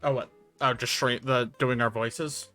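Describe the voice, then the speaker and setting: A young man chats easily on a game stream, mostly agreeing with short fillers. His voice has a bright, sharp edge, and faint music plays behind him. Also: medium pitch, steady tone, unhurried. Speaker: streamer co-host. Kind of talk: casual chat on a game stream.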